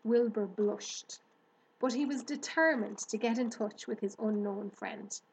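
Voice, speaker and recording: A woman narrating a children's storybook aloud in a measured, scripted delivery. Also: fairly high pitch, a little hiss or hum, poor audio. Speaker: storybook reader.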